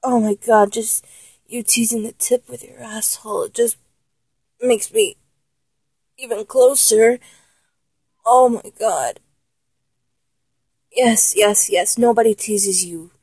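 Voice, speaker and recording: A woman playing a needy, teasing male lover in an intimate adult audio roleplay, breathy and halting, often slipping into whispers. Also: fairly high pitch, a little mellow, no echo. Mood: flustered, urgent.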